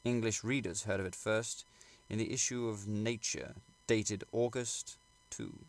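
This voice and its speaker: A man reading literary fiction aloud as an audiobook narrator, in a measured, formal storytelling manner. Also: lowish voice, fairly even intonation. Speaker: audiobook reader.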